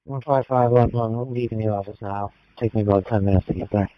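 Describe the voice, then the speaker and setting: A male responder reports in over two-way radio with a fairly low voice. The transmission is short and sounds dull and lo-fi. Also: fairly even intonation, a little fast, dry recording.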